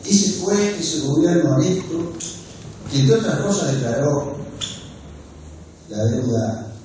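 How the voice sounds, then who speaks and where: A man in his sixties with an Argentine Spanish accent, giving a measured, earnest formal speech at a desk microphone in a wood-panelled university hall. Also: on the high side, lively intonation, warm tone, slight background noise. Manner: measured and earnest, gestures with his hands, pauses often mid-phrase. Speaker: speaker at a book presentation. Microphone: desk microphone on a stand. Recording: very echoey hall, voice picked up from a distance.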